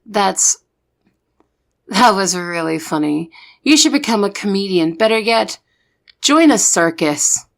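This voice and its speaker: A young woman playing a soft-hearted, easily exasperated secret agent in an ASMR audio roleplay. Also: mid-range voice, expressive, measured pace, creaky, pauses often.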